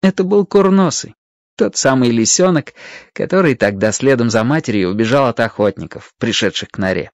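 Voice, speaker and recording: Radio-play narrator, expressive storytelling, lively intonation, medium pitch, dry recording. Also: fairly quick, dry recording.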